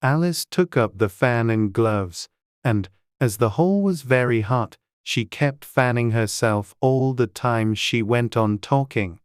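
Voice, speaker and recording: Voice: medium pitch, slow pace, clear, resonant tone, no echo, studio quality. A narrator reading a children's storybook as a scripted audiobook.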